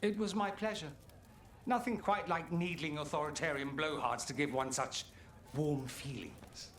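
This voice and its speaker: An actor playing a suave, witty mage rebel in a video game, speaking with wry, theatrical eloquence. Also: male voice, fairly high pitch, normal speed, fairly bright tone, close to an everyday voice. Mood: warm, pleased. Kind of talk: video game cutscene acting.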